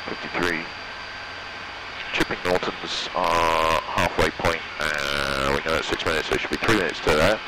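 Low-voiced British man over aircraft noise. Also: steady tone, normal speed. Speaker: student pilot, vlogger. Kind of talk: talking himself through flight checks. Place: small open-sided microlight cockpit in flight. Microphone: aviation headset. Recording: loud aircraft engine noise, headset audio.

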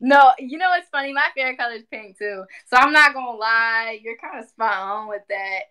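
A woman in her twenties talking casually on a livestream, animated and emphatic, often repeating phrases for emphasis. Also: a little high, fairly quick, smooth. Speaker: spiritual community speaker. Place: indoor room at home.

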